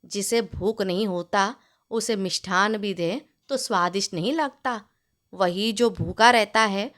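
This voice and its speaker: A woman's voice: medium pitch, a little slow, a bit bright, voice pushed down, close to an everyday voice. A woman voicing a clever, patient male gardener in a storybook tale, explaining things respectfully to his master. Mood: explaining, wise. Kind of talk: storybook character voice in narrated tale.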